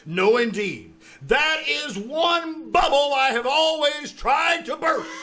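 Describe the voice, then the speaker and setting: An actor playing a flustered, blustering middle-aged school principal, stammering and exasperated in old-time radio comedy style. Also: male voice, extremely high pitch, lively intonation, slow pace, bright, forceful tone, full character voice. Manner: stammering, exasperated, pompous outbursts. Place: live on a small theater stage.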